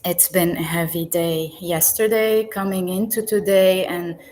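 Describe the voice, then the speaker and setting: A woman speaks slowly in a lowish voice over a video call. She is a calm, reflective guest whose words carry a grateful tone. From Lebanon. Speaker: podcast guest. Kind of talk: live podcast conversation.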